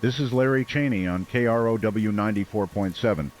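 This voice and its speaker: An actor playing a small-town late-night radio host, measured, serious and a little skeptical. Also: male voice, lowish voice, darkish tone, close to an everyday voice. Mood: grave. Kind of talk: radio drama call-in hosting.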